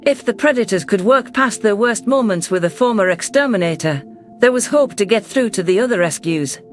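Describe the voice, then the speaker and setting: A man narrating a science fiction story in the first person with steady storytelling delivery. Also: high voice, fairly quick, faint music behind.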